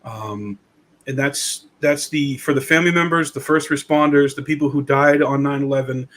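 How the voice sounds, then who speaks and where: A man's voice: mid-range voice, moderate pace, fairly bright tone, slight background noise. A man hosting a casual conversation show, talking informally like a guy among buddies. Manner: informal, says "dude" and "man". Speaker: podcast host.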